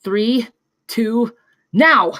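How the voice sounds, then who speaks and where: A woman's voice: on the high side, extremely slow, a bit bright, no room sound, studio quality. A woman playing a cool, precise technical pilot in a screenplay table read. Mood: commanding.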